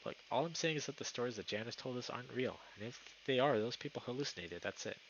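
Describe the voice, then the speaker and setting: A man's voice: mid-range voice, lively intonation, fast talker, creaky, a bit bright, highs cut off, a little hiss or hum. A man playing a stubborn, casual young male animal character in a visual novel. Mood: insistent.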